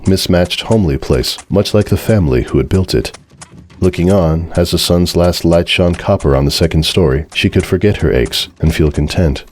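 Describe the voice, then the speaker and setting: Male narrator, extremely deep voice, dramatic scripted story reading, some background noise. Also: a little mellow.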